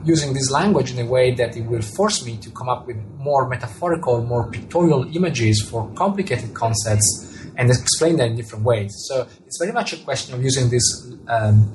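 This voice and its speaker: A man's voice: mid-range voice, noisy background. A man with an Italian accent gives thoughtful interview answers, often repeating words as he searches for the right phrasing. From Italy. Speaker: author and astronomer being interviewed.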